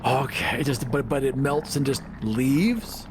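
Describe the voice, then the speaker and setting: A man's voice: medium pitch, a little slow, noisy background. A man chatting casually as a player in a tabletop roleplaying game, describing his character's moves.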